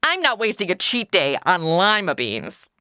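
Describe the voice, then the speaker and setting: A woman's voice: on the low side, huge pitch swings, moderate pace, bright, forceful tone, clean tone, no room sound, studio quality, heavily acted. A woman playing a sarcastic, wisecracking modern young woman in an old west comedy audio drama. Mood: wry. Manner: sarcastic, quippy. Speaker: title character.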